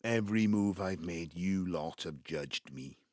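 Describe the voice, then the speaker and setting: An ageing patriarch from the South Wales Valleys in an audio drama, his voice very deep and rough with husk. He takes his time, halting and brooding as he justifies himself. The sound is close and dry. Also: darkish tone, no echo. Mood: defensive, angry. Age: 70s. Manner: halting, reflective, breaking into low muttering. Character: embittered ageing Welsh patriarch, wistful and self-justifying. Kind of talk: naturalistic audio drama monologue.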